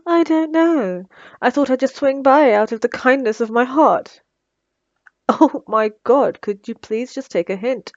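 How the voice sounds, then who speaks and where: A woman's voice: medium pitch, no room sound, lo-fi sound. A woman playing a smug, sarcastic female supervillain who taunts her captive with theatrical condescension in an ASMR roleplay. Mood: mocking, sarcastic. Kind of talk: ASMR roleplay audio drama.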